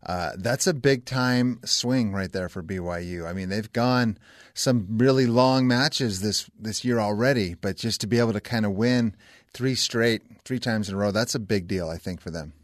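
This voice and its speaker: A man's voice: on the low side, normal speed, dry recording, clean studio sound. A man co-hosting a sports talk show, giving conversational and emphatic opinions. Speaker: radio sports show co-host.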